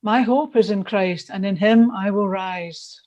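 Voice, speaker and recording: A woman's voice: medium pitch, a little slow, warm tone, dull audio. A woman reading a scripted church devotional in an emphatic, earnest manner.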